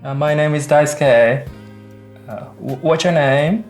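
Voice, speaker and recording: A man acting in a radio drama as a gentle young Japanese soldier who is polite and hesitant. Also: fairly high pitch, measured pace, soft background music, lightly acted.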